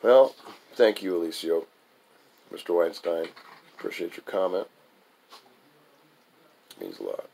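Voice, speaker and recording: A man with a gravelly voice, an author reciting his own writing and addressing his listeners. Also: deep voice, wildly swooping pitch, measured pace, long pauses, warm tone, slight background noise. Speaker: author reading own book.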